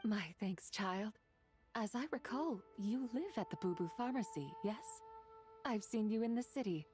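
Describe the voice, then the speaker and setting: A woman voicing a courteous, wise immortal in a video game, with formal, measured, old-fashioned delivery. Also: medium pitch, animated, music in the background, close to an everyday voice. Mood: gentle, polite. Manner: formal, measured, archaic wording.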